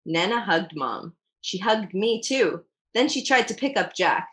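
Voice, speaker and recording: Expressive woman reading a picture book. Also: medium pitch, measured pace. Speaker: storytime reader and host.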